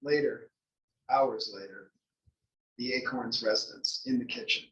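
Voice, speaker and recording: A man reads stage directions in scripted narration. His voice is mid-pitched and sounds distant, with plenty of room echo. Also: wildly swooping pitch, unhurried, creaky, fairly bright tone. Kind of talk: scripted narration at a table read. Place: library room, table read. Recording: echoey library room, distant mic.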